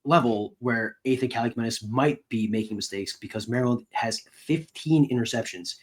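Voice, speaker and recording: A man's voice: mid-range voice, moderate pace. A man co-hosting a sports podcast, discussing games in a casual, conversational way like a reporter.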